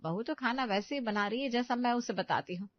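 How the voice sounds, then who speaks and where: A woman's voice: fairly high pitch, brisk, dry recording, muffled recording, close to an everyday voice. A woman voicing a bossy, middle-aged village mother-in-law who scolds and gives instructions. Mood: scolding.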